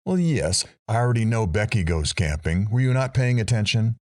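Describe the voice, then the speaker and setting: A low, dry-recorded voice for a musing, frank interviewer, acted by a man in an audio drama. He is conversational, and his pitch moves a lot as he talks. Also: very animated, moderate pace, darkish tone, no echo. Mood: irked.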